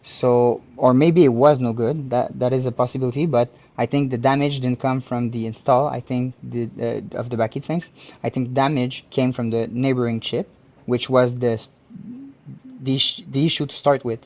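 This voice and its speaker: A man's voice: mid-range voice, steady tone, moderate pace, a little mellow, dull audio. A man with a Quebec French accent, a native French speaker, chatting through a live hands-on repair tutorial as the host and technician, often searching for his words. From Canada, French-speaking province.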